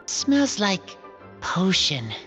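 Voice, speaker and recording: A woman playing a shy, simple-spoken wild teenage boy in an anime game, in short halting phrases. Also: mid-range voice, sing-song, fairly bright tone, faint music behind, full character voice. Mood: curious, sincere. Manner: halting, clipped simple sentences.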